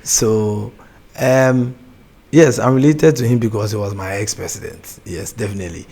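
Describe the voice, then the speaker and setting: A young Ghanaian man with a Ghanaian accent, a gospel artist chatting as an interview guest, soft-spoken, laughing easily and often restarting his sentences. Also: mid-range voice. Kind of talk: casual interview chat.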